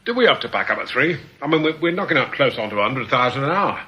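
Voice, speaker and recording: An actor playing a greedy, sardonic gang member in a radio drama, blunt and needling. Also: male voice, medium pitch, very animated, fast, slightly rough. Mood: pushy. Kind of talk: British radio drama acting. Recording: dull, noisy old radio recording.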